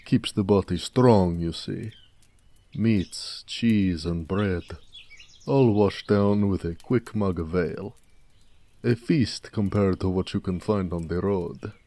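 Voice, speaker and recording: A man playing a big, muscular orc blacksmith in an intimate audio drama, proud, gentle and affectionate, with courteous, slightly formal speech. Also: sits low, exaggerated voice. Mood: content, proud. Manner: unhurried, soft-spoken, courteous, slightly formal. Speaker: voice actor.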